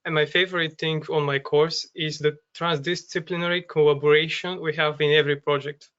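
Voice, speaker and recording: A user experience design student from Bulgaria introduces himself. His voice is bright, smooth and somewhat high, and he speaks fluently. The audio is dull and lo-fi. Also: level tone, brisk. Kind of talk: webinar self-introduction. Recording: dull lo-fi video call audio.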